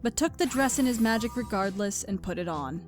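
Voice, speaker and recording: Woman narrating a story over soft music. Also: mid-range voice, animated, brisk. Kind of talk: scripted storybook narration of fan fiction.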